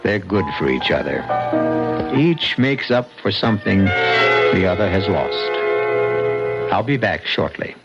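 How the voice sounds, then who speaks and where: Male old-time radio host-narrator: oratorical, unhurried, widely varied intonation over prominent music. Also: deep voice, expressive. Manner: measured, oratorical. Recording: dull old radio recording, loud music.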